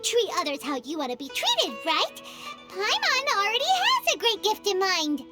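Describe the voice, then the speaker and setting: A tiny fairy guide with a piercingly high, squeaky voice that leaps wildly up and down. She is bubbly, greedy and sing-song, chattering excitedly. Game music plays clearly behind her. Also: normal speed, full character voice. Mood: scheming. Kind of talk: video game voice acting.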